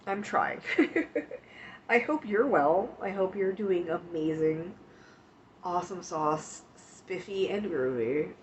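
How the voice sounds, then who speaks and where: A woman with a low, unhurried voice chats frankly to her stream audience, sniffly and coughing with the flu. Her talk is blunt and laced with swearing. The sound is a little dull and lo-fi, with a faint hiss behind her. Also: lively intonation. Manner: frank and profane, talks straight to chat. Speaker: livestream host. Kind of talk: casual livestream chat about self-care. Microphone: streaming mic.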